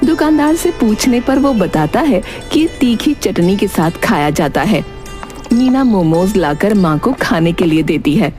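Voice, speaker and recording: A woman's voice: mid-range voice, sing-song, measured pace, darkish tone. A woman narrating a scripted cartoon moral story. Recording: music behind the voice.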